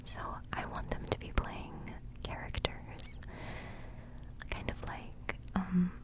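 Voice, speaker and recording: A young woman whispering a relaxed music reaction in ASMR style, giggling softly and rambling with plenty of fillers. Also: slow pace. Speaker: ASMR creator reviewing music.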